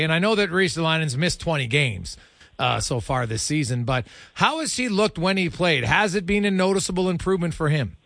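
A man's voice: a little high, fairly bright tone, no echo, clean studio sound. A man hosting a sports radio interview, asking questions in a conversational way. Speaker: radio host.

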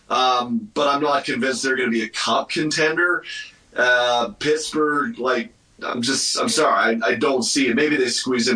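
Male hockey pundit, forceful edge, measured and opinionated, on a roomy remote call away from the mic. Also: medium pitch, recorded from a distance. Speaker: hockey radio commentator, guest analyst. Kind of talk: conversational sports commentary.

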